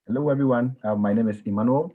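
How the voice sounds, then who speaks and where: A young man from Ghana, a graduate student, quickly introducing himself on a video panel. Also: mid-range voice. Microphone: video call. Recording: phone-like thin audio.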